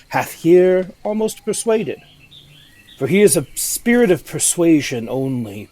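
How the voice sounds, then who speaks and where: A man's voice: mid-range voice, a little mellow. A man playing a scheming, ambitious usurping nobleman in a Shakespearean audio drama, with an insinuating, rhetorical delivery. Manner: insinuating, rhetorical, deliberate emphasis. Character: scheming, ambitious usurping nobleman tempting his companion to murder. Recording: remote recording with music underneath.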